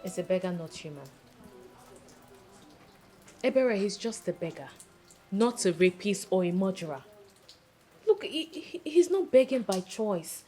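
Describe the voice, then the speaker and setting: A woman's voice: medium pitch, expressive, unhurried, pauses often, dark tone, soft background music, close to an everyday voice. A woman playing a defiant, plain-spoken young village woman with a Nigerian accent in a film drama, speaking emphatically and indignantly. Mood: defensive, earnest. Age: young adult. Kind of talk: naturalistic film drama dialogue.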